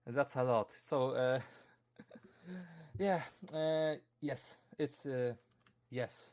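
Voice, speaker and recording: A man's voice: mid-range voice, drawn-out words, a little mellow. A young man with a Polish accent giving a casual streamed talk, answering chat questions with many fillers, restarts and frequent chuckles. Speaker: convention presenter.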